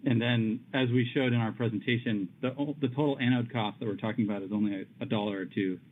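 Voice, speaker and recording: A man's voice: mid-range voice, steady tone, quick pace. A man speaking as a company executive, explaining things off the cuff on an earnings call. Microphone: conference call line. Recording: phone-like call audio, faint noise.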